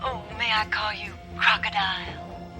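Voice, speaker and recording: An actress playing a sultry, flirtatious widow who speaks in a soft, breathy, seductive way. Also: female voice, mid-range voice, steady tone, unhurried, over music, close to an everyday voice.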